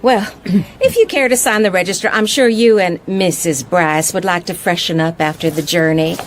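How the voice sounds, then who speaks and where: An actress in a radio drama playing a prim, nosy, disapproving hotel owner. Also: female voice, lowish voice, normal speed, a little hiss or hum, close to an everyday voice.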